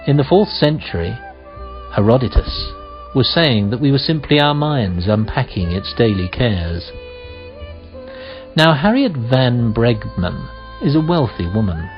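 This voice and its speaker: A man narrating a radio play as a deliberate, musing storyteller, with rhetorical pauses and questions. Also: medium pitch, expressive. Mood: musing, measured. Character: measured, musing male storyteller of a mystery tale. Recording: slightly dull audio with faint music.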